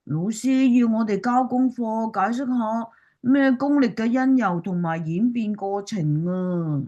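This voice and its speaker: Radio drama role: woman playing inquisitive young pupil, Cantonese, high and chirpy. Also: a little slow, dark tone, highs cut off, lightly acted. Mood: earnest, curious. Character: eager curious schoolchild visiting grandparents.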